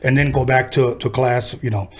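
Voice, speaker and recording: A man's voice: medium pitch, fairly even intonation, normal speed. A man in his fifties hosting a casual interview podcast, from the Los Angeles harbor area, telling laid-back, joking stories. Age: 50s. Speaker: podcast host.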